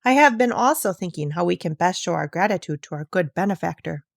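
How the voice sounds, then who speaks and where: A woman's voice: fairly low pitch, lively intonation, fairly quick, creaky, no echo, studio quality. A woman voicing a grateful, courteous father in a storybook, speaking formally and from the heart. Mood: tender. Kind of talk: storybook read-aloud.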